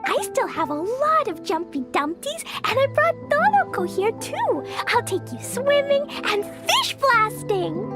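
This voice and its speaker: A very high, gushing little girl. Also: very animated, full character voice. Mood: happy, affectionate. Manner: childish simple phrasing, drawn-out, gushing. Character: cheerful little girl, adoring and playful, guileless. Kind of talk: animated video game voice acting. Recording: music behind the voice.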